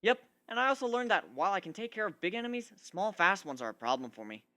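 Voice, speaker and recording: A man's voice: high voice, sing-song, fast talker, bright, forceful tone, no echo, pitched above the performer's own voice, full character voice. A man voicing a cocky, scheming male griffin in a dramatic story reading, talking in a boastful, glib way. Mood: matter-of-fact. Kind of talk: dramatic reading of fan fiction.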